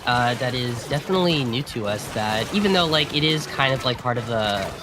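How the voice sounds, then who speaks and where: A man with a raspy voice, hoarse from a cold, doing co-commentary for a video game tournament and hesitating often with fillers and restarts. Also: mid-range voice, lively intonation, fairly quick, noisy background. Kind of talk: esports commentary.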